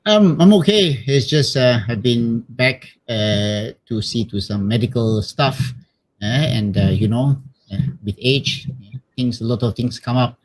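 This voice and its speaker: A man's voice: medium pitch, animated, a little slow, rough recording. Hesitant middle-aged Malaysian man telling his story. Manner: hesitant, repeats words, tags with "you know". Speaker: missionary priest being interviewed. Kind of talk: casual personal storytelling.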